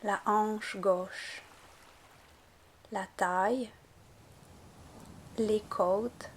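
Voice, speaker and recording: Woman doing guided yoga nidra relaxation, really slow n gentle, calm, normal pitch. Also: a little hiss or hum. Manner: calm, gentle, unhurried instruction. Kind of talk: guided relaxation meditation narration.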